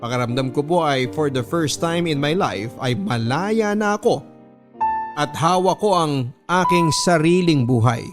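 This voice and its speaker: Filipino man narrating over music, higher pitch. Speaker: radio drama narrator. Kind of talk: scripted first-person story narration.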